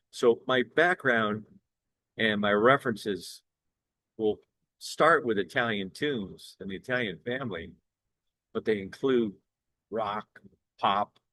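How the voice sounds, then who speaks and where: An older man from Cleveland with a bass baritone voice, pitching his work as an event singer in an upbeat, earnest way. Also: fairly bright tone, no room sound, low-quality recording. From Cleveland, Ohio, USA. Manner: upbeat, earnest salesman talk with small restarts. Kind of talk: promotional direct-to-camera pitch.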